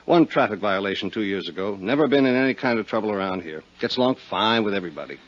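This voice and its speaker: A man's voice: fairly low pitch, animated, fast talker, natural voice. A man with an American accent acting as a breezy, folksy, slangy private detective in a 1950s radio drama. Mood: matter-of-fact. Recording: muffled vintage radio recording.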